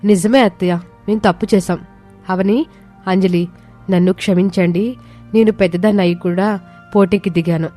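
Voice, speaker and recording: A woman's voice: medium pitch, fairly even intonation, moderate pace, pauses often, mellow, natural voice. A woman voicing a spirited young village daughter-in-law in a moral story, earnest and emphatic. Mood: remorseful. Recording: music behind the voice.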